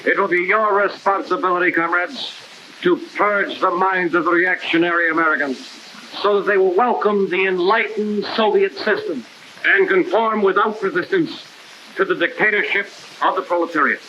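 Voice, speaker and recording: A man's voice: sits high, normal speed, pushed and bright. An actor playing a stern communist official who formally declaims to his comrades in a 1960s propaganda film. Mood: stern, exhorting. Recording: dull, hissy old film soundtrack.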